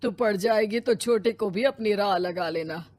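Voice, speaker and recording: A woman's voice: mid-range voice, moderate pace, a little mellow, no echo, close to an everyday voice. A woman voicing a middle-aged pensioner father in a cartoon story, plain-spoken and homely, content but a little wistful. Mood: pleased, curious.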